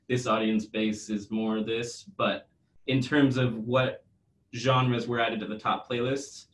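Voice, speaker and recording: A man's voice: mid-range voice, level tone, takes it slowly, echoey room, recorded from a distance. A man explaining data findings on a video call, careful and hedging, with many fillers. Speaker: guest from a music data company. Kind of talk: casual video-call interview.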